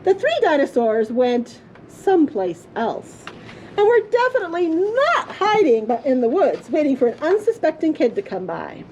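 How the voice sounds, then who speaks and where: A woman storyteller reading a children's story aloud in a playful, theatrical way with drawn-out emphasis. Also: high-pitched, sing-song, darkish tone, a little hiss or hum, low-quality recording. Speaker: librarian storyteller. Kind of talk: expressive children's storybook reading for a puppet show.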